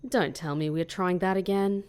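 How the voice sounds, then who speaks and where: A woman's voice: lowish voice, fairly even intonation, moderate pace, a little mellow, clean tone, natural voice. An actress playing a skeptical, blunt female undercover operative in an audio drama, with a dry, sardonic delivery. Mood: brisk, wary.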